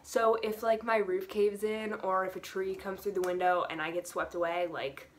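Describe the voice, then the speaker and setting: A woman's voice: mid-range voice, fairly even intonation, moderate pace, a little hiss or hum. A teenage girl chatting casually to camera about books, rambling with lots of fillers and little laughs. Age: teens. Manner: rambling, filler-heavy, giggly. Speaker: book vlogger.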